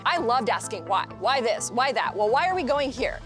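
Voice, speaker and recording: A woman's voice: on the high side, sing-song, normal speed, a bit bright, faint music behind. A woman engineer talking informally about herself in a documentary interview. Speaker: NASA payload systems engineer.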